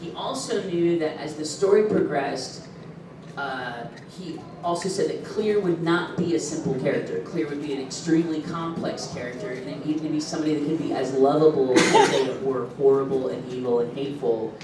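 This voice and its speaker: A man's voice: fairly high pitch, moderate pace, very noisy. A man talking casually and animatedly at a convention panel, telling stories with frequent laughs. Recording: echoey room from far off.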